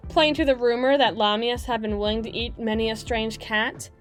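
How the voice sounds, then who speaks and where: High-voiced, snarky demon DJ acted by a woman. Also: steady tone, normal speed, natural voice. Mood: scornful, needling. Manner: sarcastic, exasperated, biting, foul-mouthed. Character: snarky, crass, fast-talking female demon club DJ, bitter and defensive. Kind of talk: ASMR roleplay audio drama monologue.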